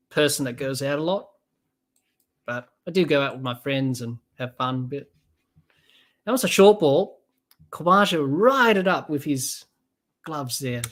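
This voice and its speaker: A young Australian podcast host calls a cricket match live in a loose, rambling way. They chat to listeners and read out their comments between deliveries. The voice sits at an ordinary middle pitch. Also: fairly bright tone. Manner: chatty, rambling, reads out viewer comments.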